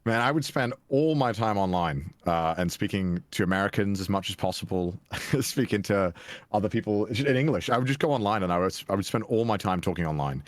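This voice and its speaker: A young Welsh man being interviewed, telling stories from his past in a loose, self-deprecating way. His voice sits very low, and the recording is close and dry with no room sound. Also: animated, brisk, no room sound. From Wales. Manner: chatty, self-deprecating, frequent restarts and laughs. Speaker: guest. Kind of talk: casual interview chat.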